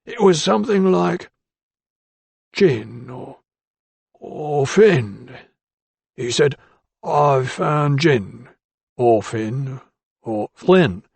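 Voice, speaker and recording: A man's voice: medium pitch, lively intonation, drawn-out words, vocal fry, long pauses, a little mellow, breathy edge, dry recording, exaggerated voice. A man voicing a timid, grasping ironmonger with a thin, bleating voice and a wheedling, deferential manner. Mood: sly. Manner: wheedling and deferential, keeps saying sir. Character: timid, grasping male shopkeeper, working class.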